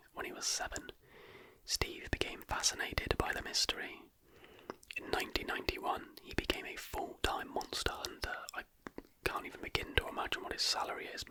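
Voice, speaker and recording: A man whispers throughout as an ASMR host, with no voiced tone, only breath. The whisper is very bright and sibilant. He reads and comments softly and deliberately, like someone telling a story. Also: low-quality recording.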